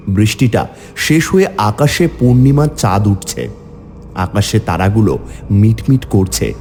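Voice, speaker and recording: Male cartoon horror narrator: dark warm timbre, highly expressive pitch, theatrical first-person storytelling. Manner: theatrical, exclamatory in dialogue.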